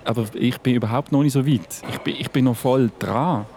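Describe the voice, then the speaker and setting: A man's voice: mid-range voice, brisk, a little mellow, close to an everyday voice. A man in his forties playing a man who faces death, speaking a reflective, anguished monologue in an audio drama. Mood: defiant. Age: 40s. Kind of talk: theatrical audio drama monologue over music.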